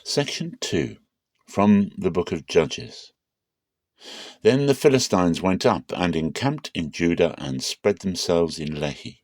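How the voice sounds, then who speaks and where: Man, deep voice, dry close sound, dramatic scripture narration, measured and solemn. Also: lively intonation, a little mellow, dry recording. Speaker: narrator reading the Bible aloud.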